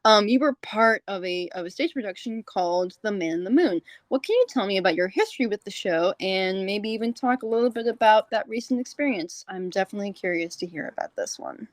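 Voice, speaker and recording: Chatty woman doing a casual podcast interview, rambles a bit, medium pitch, really dry sound. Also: animated, fairly quick, hardly any pauses, no room sound.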